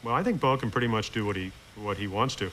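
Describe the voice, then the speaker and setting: A man's voice: fairly low pitch, quick pace, a bit bright, a little hiss or hum, poor audio. A man talking casually about sports in a broadcast conversation.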